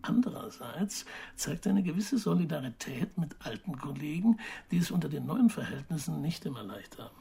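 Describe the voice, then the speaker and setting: An actor playing a measured, sceptical journalist in a radio play, speaking reflectively with pauses. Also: male voice, a little high, very animated, vocal fry, dark tone, husky, heavily acted. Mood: pondering, sceptical. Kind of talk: radio play narration and dialogue.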